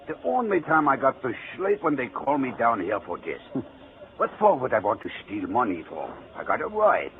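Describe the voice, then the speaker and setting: A man's voice: mid-range voice, huge pitch swings, normal speed. Tinny old-radio indignant night watchman. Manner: broken English, protesting. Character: put-upon foreign-born night watchman, indignant working man. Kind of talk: 1940s radio acting. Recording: tinny, noisy 1940s radio recording.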